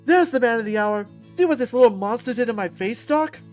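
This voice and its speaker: A woman voicing a loud, brash, burly male fighter who taunts and shouts. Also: a little high, expressive, brisk, soft background music, lightly acted. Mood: boisterous. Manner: boisterous, taunting.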